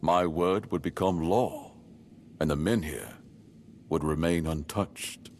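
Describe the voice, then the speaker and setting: An actor playing a solemn, duty-bound noble lord in a game, speaking in a measured, stately way. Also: male voice, low-pitched, darkish tone, slight background noise. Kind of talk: voiced game dialogue.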